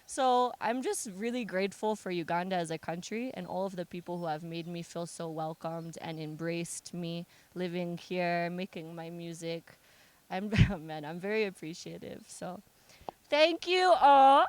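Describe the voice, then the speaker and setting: A woman's voice: lowish voice, unhurried. A young American woman, a musician, chatting casually in an interview, joking and laughing often. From United States. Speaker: musician guest.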